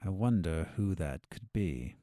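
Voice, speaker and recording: An actor in an audio drama playing a scheming, sardonic captive doctor with a condescending, drawn-out delivery. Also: male voice, low-pitched, lively intonation, mellow, slight background noise. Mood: needling.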